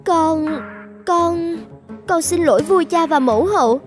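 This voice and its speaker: A woman's voice: very high voice, animated, measured pace, faint music behind. A woman playing a spirited, naive young princess with a girlish, emotive manner in a children's cartoon. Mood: pleading. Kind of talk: dubbed children's cartoon acting.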